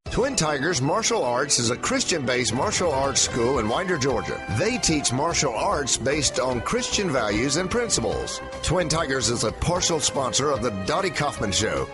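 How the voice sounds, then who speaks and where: A man's voice: medium pitch, very animated, normal speed, few pauses, poor audio. A man reading a scripted radio sponsor announcement. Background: music.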